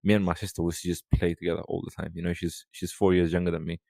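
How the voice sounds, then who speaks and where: Deep gravelly guy from sudan rambling through old stories, dry close mic, lots of you know. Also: flat delivery, racing, a little mellow, no room sound. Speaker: podcast guest. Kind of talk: casual storytelling conversation.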